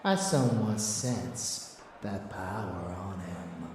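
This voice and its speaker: A man's voice: low voice, slow pace, heavy reverb, distant mic, background noise. A man playing a rebellious vampire lover in an intimate ASMR audio drama, teasing, boastful and doting, with menace underneath. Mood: boastful recounting.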